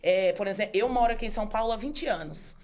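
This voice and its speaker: A woman with a Northeastern Brazilian accent, a law professor and author, talking as a guest in a casual interview, earnest and often pausing to rephrase. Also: mid-range voice, darkish tone. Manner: earnest, with frequent hesitations and restarts.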